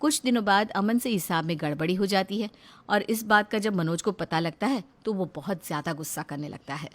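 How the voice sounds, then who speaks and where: A woman's voice: medium pitch, fairly quick. A woman reading scripted narration as the storyteller of a family tale.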